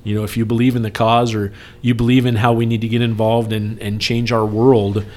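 A man's voice: fairly low pitch, little pitch movement, brisk, darkish tone, a little hiss or hum. A man talking casually on a podcast in an earnest, persuasive, conversational way, repeating points for emphasis. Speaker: speaker appealing for campaign support.

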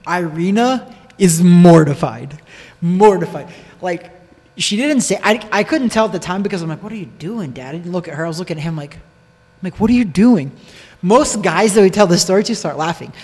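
A man's voice: sits high, expressive, normal speed, darkish tone, husky. An American man telling a personal story in casual conversation, repeating phrases for emphasis. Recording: long echoing reverb.

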